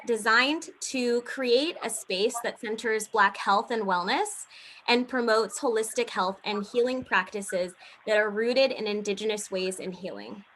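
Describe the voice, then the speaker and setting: Bright, higher-pitched woman making announcements. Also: rough recording. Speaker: event host. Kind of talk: scripted welcome announcement.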